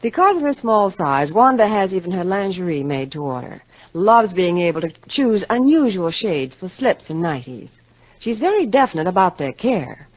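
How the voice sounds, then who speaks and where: Animated low-voiced woman radio announcer. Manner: polished, salesy. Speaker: commercial announcer. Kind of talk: 1950s scripted radio advertisement. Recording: dull vintage radio broadcast.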